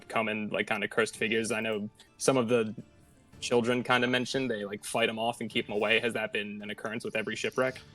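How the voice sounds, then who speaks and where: A man's voice: on the low side, fairly bright tone. A man playing a tabletop game, chatting and improvising casually.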